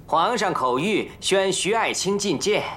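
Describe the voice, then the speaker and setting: A man's voice: high voice, animated, unhurried, fairly bright tone, slight background noise. A man acting an imperial herald in a historical drama, ceremoniously declaiming edicts. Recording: echoey hall, music behind.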